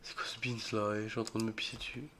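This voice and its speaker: A young man with a cold, voice a bit rough, joking and getting spooked as he plays a horror game for his channel. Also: lowish voice, level tone, very fast, bright, forceful tone, a little hiss or hum. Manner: jokey, easily spooked, mutters reactions and swears. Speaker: gaming video creator. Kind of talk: solo horror game let's play commentary.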